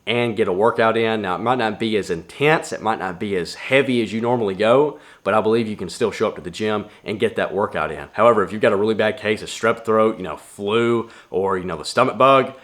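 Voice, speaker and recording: A man's voice: on the low side, brisk, hardly any pauses, fairly bright tone. A young man giving casual fitness advice to camera in a plainspoken, earnest way from his home gym. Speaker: fitness vlogger.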